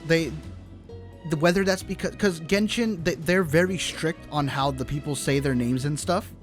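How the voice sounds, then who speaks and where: A man's voice: a little high, normal speed. A man livestreaming a game, chatting informally and rambling to his chat in slangy commentary. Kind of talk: casual live commentary to chat. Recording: game music behind the voice. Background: video game music.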